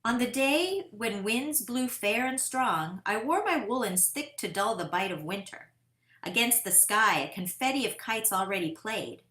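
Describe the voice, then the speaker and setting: A woman voicing an eager, determined boy who tells his own kite-flying tale in a dramatic, deliberate picture-book read-aloud. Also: a little high, expressive, bright, forceful tone. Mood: excited, proud. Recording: roomy, echoing sound.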